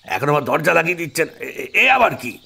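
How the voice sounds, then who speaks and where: An actor playing a bossy, exasperated police officer in an audio drama, barking orders impatiently in a raspy voice. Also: male voice, fairly high pitch, sing-song, a little fast, bright, forceful tone, full character voice. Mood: indignant.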